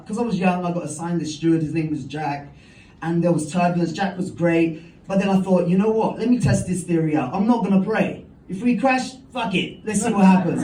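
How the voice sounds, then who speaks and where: High-voiced man doing stand-up on a club stage. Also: a little mellow. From London. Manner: punchy, self-mocking delivery. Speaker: stand-up comedian. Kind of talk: stand-up comedy set. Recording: roomy, distant, crowd and hum. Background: audience laughter.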